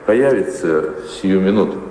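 An actor playing a reserved, courteous master of the house in a theatrical audio drama, speaking in a measured, formal way. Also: male voice, sits low, lively intonation, dark tone. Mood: reserved politeness. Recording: echoey hall with faint background noise.

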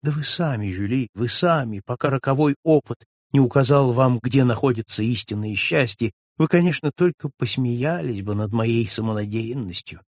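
A man voicing a suave gentleman friend who pleads tenderly with a touch of ironic melancholy, read for an audiobook. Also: medium pitch, normal speed, few pauses, no echo, clean studio sound, natural voice. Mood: urgent. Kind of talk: audiobook reading of a classic novel.

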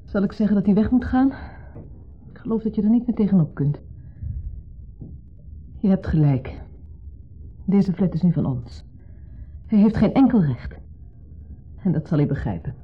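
A woman playing a conciliatory wife in a 1970s radio play, placating and earnest, sometimes hushed. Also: medium pitch, expressive, moderate pace, long pauses, breathy edge, faint music behind. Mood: soothing, uneasy. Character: conciliatory wife caught between husband and ex. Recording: muffled phone-like old radio recording.

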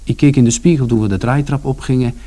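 A man's voice: mid-range voice, steady tone, fast talker, warm tone, natural voice. An actor playing a reflective young man recounting events conversationally in a radio play.

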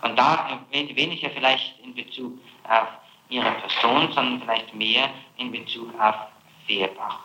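A man working as a prosecutor questions a suspect in a halting, insistent way, often tagging statements with a question. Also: medium pitch, fairly even intonation, sharp tone. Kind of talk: spontaneous interrogation. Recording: noisy, echoey archival interrogation tape.

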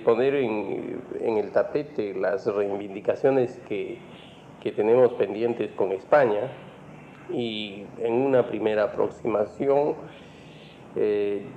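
A man with a Peruvian accent giving a formal, halting explanation as an interviewed economist. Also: on the low side. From Peru. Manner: halting, hesitant, formal wording. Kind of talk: spoken explanation. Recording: muffled, noisy lo-fi interview recording.

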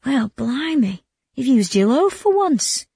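A woman's voice: high-pitched, sing-song, takes it slowly, gravelly, dark tone, slightly rough, no echo, studio quality, pitched above the performer's own voice, exaggerated voice. A woman voicing a cunning male trickster in a storybook, goading and mock-doubting. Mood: sly, goading. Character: sly, mocking male prankster, egging on his victim.

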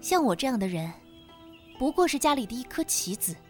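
A woman's voice: sits high, big pitch swings, fairly quick, soft background music. An actress playing a poised, perceptive young lady with a formal, knowing manner in an audio drama. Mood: earnest.

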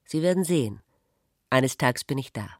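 A woman's voice: extremely low pitch, animated, normal speed, a little mellow, no echo, studio quality. A woman playing a spirited, teasing, headstrong wife in a literary radio play, direct and provoking in manner. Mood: insistent.

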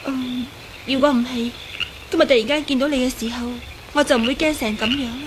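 A woman's voice: high-pitched, steady tone, a little fast, noisy background, lightly acted. An actress playing a composed young swordswoman in a radio drama, speaking formally and earnestly with contrition. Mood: contrite. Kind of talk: Cantonese radio drama acting.

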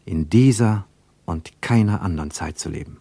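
A man's voice: deep voice, moderate pace, gravelly, mellow, husky, no echo, full character voice. A man voicing a zealous German boy in a radio play, exalted and declamatory. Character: zealous German boy reciting Nazi-era pledges with exalted faith. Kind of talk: radio play narrator voicing quoted speech.

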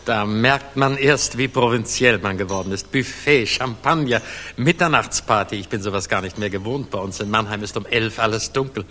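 An actor playing a genial older provincial gentleman, a proud father-in-law, in a 1960s radio drama. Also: lowish voice, moderate pace. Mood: impressed. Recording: reverberant, hissy 1960s mono radio play.

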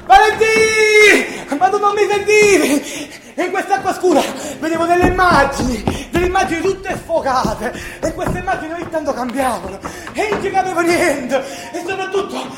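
An actor with a southern Italian accent playing a restless, nostalgic young worker in a colloquial, emotional radio theatre monologue. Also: male voice, very high voice, lively intonation, normal speed, hardly any pauses. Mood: anguished, agitated. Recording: roomy stage sound under loud music.

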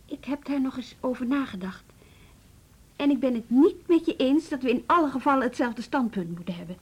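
A woman's voice: sits high, animated, fairly quick, a little mellow, husky. An actress playing a female music teacher in a radio drama, who talks in a firm, reasoning, insistent way.

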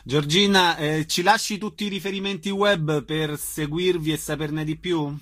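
A man's voice: a little high, steady tone, normal speed, fairly bright tone. A man hosting a radio interview, asking guests questions and giving announcements.

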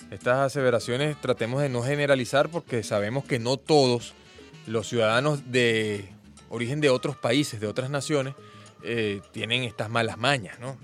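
A man with a Venezuelan accent hosting a radio program, speaking in a measured, earnest way in full sentences. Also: mid-range voice, faint music behind. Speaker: radio host. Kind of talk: radio talk commentary.

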